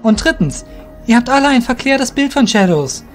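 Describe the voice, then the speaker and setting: A man puts on a proud young male rogue in a fantasy audio drama, pitched well up. He sounds earnest, principled and firm as he speaks up for himself. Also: very animated, normal speed, faint music behind. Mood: firm, indignant. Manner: firm, friendly, principled, forthright.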